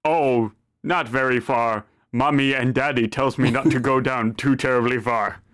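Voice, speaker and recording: Tabletop role: innocent little fish-folk boy, weirdly deep voice, dry close sound, a man plays him. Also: fairly even intonation, moderate pace, fairly bright tone, no room sound, natural voice.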